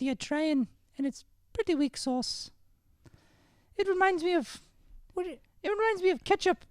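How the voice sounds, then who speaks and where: Performer putting on a high-pitched haughty Irish girl. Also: darkish tone, no echo, studio quality. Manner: scornful, curt. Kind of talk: improvised old-time radio comedy.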